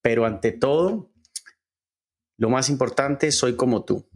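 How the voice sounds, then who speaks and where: A Colombian man from Cali, in his late thirties, hosting a podcast and earnestly telling his own life story in a halting way with many pauses. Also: mid-range voice, animated. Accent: Colombian Spanish from Cali. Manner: earnest, halting, with frequent "eh" fillers and slow deliberate stretches. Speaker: podcast host. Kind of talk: personal storytelling monologue.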